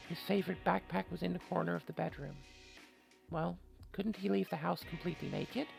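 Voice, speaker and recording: Man, very creaky voice, slightly high, casual game streamer, wry asides, soft music behind. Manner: wry, rambling, joking asides. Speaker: gamer and streamer doing a let's play. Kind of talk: casual game commentary mixed with reading story text aloud.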